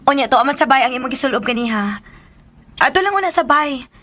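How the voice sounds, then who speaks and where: A woman's voice: sits high, animated, fast, fairly bright tone. An actress playing a friendly, courteous young woman, a daughter in a Filipino radio drama.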